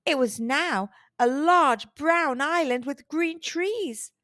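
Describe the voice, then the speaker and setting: A woman hosting a children's storytime, reading aloud expressively with deliberate, dramatic emphasis. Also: sits high, fairly bright tone, dry recording, studio quality. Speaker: children's storytime host and narrator.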